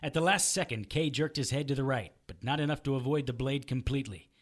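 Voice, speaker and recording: A man's voice: medium pitch, fast talker, natural voice. A man playing a battered, wisecracking private investigator in an audio drama, narrating in a sardonic, hard-boiled manner. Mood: tense narration.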